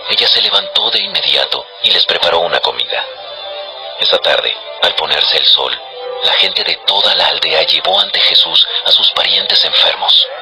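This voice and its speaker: A man with a deep, low voice reads scripted narration for a dramatized audio Bible. Music plays clearly underneath his storytelling. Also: moderate pace, poor audio.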